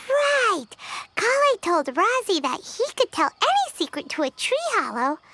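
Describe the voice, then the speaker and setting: Squeaky little floating sprite buddy, super high, jumps all over the place. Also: female voice, background noise, heavily acted. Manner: chirpy, exclaims often, talks about herself in third person. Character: tiny floating girlish companion sprite, excitable. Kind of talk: game voice acting.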